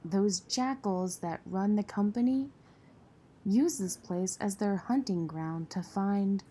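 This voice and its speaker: A woman's voice: mid-range voice, slow, dark tone, husky. A woman playing a tough, jaded relief center volunteer in an audio drama, blunt and world-weary. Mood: bitter, cynical.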